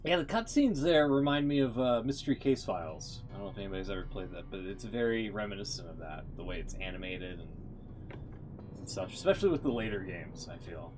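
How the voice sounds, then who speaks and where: A man's voice: on the low side, expressive, brisk. A man livestreaming casual commentary while feeling under the weather with a headache. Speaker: live streamer. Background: music behind the voice.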